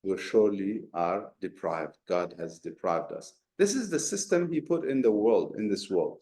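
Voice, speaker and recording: A man's voice: on the low side, expressive, moderate pace, dark tone. A man teaching a Quran commentary class, explaining step by step with rhetorical questions and Arabic terms. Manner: mixes Arabic terms into talk. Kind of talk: explanatory religious lesson. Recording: echoey room.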